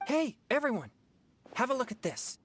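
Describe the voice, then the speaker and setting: A woman playing a spirited, earnest young heroine in a video game, expressive and protective. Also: high voice, normal speed, slight background noise. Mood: bright, eager. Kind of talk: video game cutscene acting.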